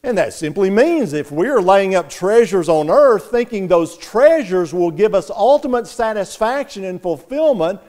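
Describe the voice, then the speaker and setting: Older pastor guy preaching in church, kinda high voice, gets louder and higher when he presses a point. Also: very animated, moderate pace, hardly any pauses. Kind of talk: sermon. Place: church sanctuary.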